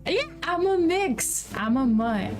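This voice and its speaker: A woman's voice: high-pitched, expressive, takes it slowly, darkish tone, soft background music. A young woman hosting a casual chat, teasing her guest, laughing often and repeating herself. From New York. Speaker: podcast host.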